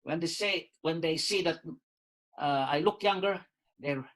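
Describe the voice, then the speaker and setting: A man in his sixties from the Philippines with a Filipino accent, a doctor and lawyer giving a casual spoken testimonial, pausing often with "uh", repeating words and saying "you see". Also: fairly high pitch, a bit bright. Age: 60s.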